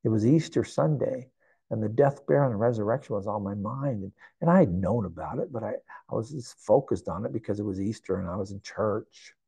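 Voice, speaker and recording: Gravelly low-voiced older man preaching. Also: a little fast, warm tone, no room sound. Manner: earnest, reflective preaching with repetitions. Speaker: pastor. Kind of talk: sermon. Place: indoor church sanctuary.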